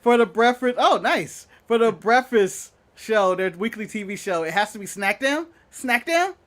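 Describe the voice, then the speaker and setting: Brief: male co-host, high voice, jokey banter, laughs a lot. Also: animated, moderate pace, fairly bright tone. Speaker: podcast co-host. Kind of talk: casual chat.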